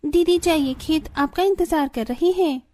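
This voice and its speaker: High-pitched, expressive cartoon village woman, acted out. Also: normal speed, no echo, close to an everyday voice. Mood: cheerful, caring. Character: village women, spirited young and scolding daughters-in-law and mothers-in-law. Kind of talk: Hindi cartoon story acting.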